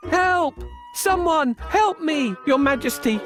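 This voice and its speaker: A woman's voice: sits high, expressive, slow, soft background music. A woman voicing a pleading cat servant in a fairy tale, theatrical and earnest. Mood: urgent pleading.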